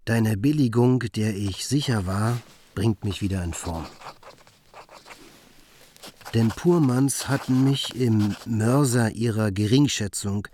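A man performing a reflective, wry commentator in a literary radio play, with measured, pointed phrasing. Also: fairly low pitch, mellow, slight background noise. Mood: wry, self-satisfied. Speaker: second narrator.

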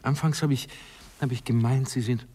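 An actor playing a smooth-talking, prying Viennese seducer past thirty in a radio play, speaking hesitantly and insinuatingly. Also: male voice, medium pitch, steady tone, normal speed, dark tone, lightly acted. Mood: tender, wistful. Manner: hesitant, insinuating, repeating words. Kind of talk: German-language radio play acting.

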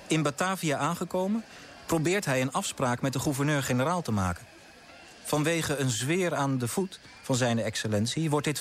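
A man's voice: a little high, slight background noise, close to an everyday voice. An actor playing a male storyteller, delivering scripted narration in a radio drama. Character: male storyteller recounting a man's fruitless petitions.

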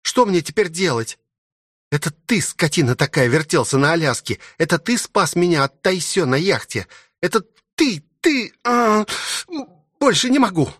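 A man plays a fierce young princess in an audiobook, lovestruck and possessive. Her lines swing upward in lively, demanding jumps, recorded close and dry. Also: a little high, moderate pace, no room sound, voice pushed up. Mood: furious, desperate. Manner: growling, demanding, teasing. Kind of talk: audiobook character voice.

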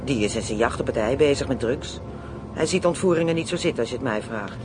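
A man acting in a radio play as a brash, greedy criminal, slangy, boastful and excitable. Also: fast, close to an everyday voice. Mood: eager, brash. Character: brash, greedy, playful Amsterdam criminal plotting kidnappings. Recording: radio play with clear vehicle rumble.

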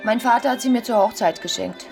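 A woman's voice: on the high side, a little fast, vocal fry, darkish tone, soft background music. An actress playing an agitated, imperious young married woman in a radio play, speaking curtly and urgently. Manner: curt, urgent, defiant.

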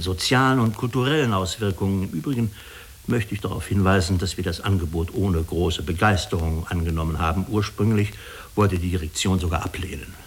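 A man playing a diplomatic, persuasive agency official in a German radio drama, speaking formally and deliberately with small hesitant pauses. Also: fairly low pitch, close to an everyday voice. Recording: clearly hissy radio recording.

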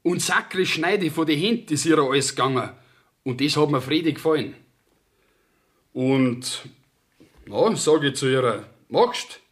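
A man's voice: a little high, lively intonation, unhurried, long pauses. An actor playing a plain-spoken, stubborn young peasant in a Bavarian dialect radio play, telling his story in an artless, rambling rustic way. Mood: artless, earnest.

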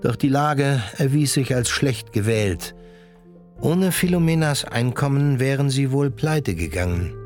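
A man's voice: mid-range voice, lively intonation, unhurried, darkish tone. Guy narrating a radio play, scripted storytelling, mid voice, bit of music behind. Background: music under the voice.

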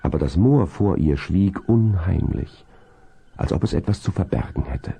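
A man narrating a suspenseful audio drama as a grave storyteller, slowly and ominously, in a deep, smooth voice. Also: animated, mellow, full character voice. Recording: dull 1970s vinyl radio play.

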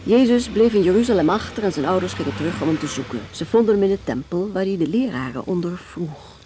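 A woman's voice: lowish voice, expressive, quick pace, noisy background, natural voice. An actress in a Dutch radio drama playing a curt, stubborn working mother who defiantly guards her son. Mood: proud, defiant. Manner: brusque, stubborn, dismissive.

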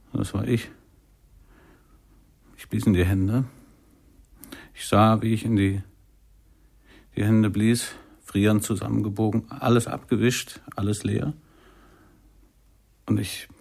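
A haunted, frozen young jazz musician in a radio drama. His voice is low, husky and very slow, halting over repeated fragments as if dazed. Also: a little mellow, heavily acted. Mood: haunted, hushed.

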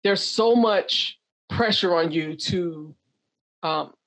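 A woman's voice: lowish voice, slow pace, fairly bright tone, rough recording. A woman interviewing a guest in casual talk, frank and blunt, swearing at times while searching for careful wording.

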